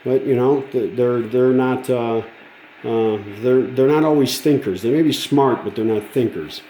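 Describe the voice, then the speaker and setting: A man's voice: medium pitch, normal speed, dark tone, slight background noise. A man giving a casual, enthusiastic, folksy hands-on demonstration as a radio restorer, filmed on a smartphone. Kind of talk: casual hands-on demo chat. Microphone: handheld smartphone camera. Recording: roomy phone-camera sound. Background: vintage radio playing music.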